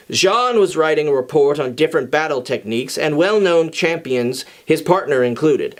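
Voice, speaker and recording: Man with a slightly high voice reading aloud. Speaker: fan fiction reader and channel host. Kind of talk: informal scripted story narration.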